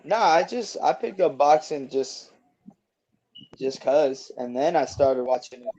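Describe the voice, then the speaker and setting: A young man in his twenties talks casually as a guest, easygoing and rambling, often restarting his sentences. His voice sits slightly high and has a mellow, dark tone. The recording is a bit muffled and lo-fi. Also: lively intonation, unhurried. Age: 20s. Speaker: guest boxer. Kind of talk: casual interview chat.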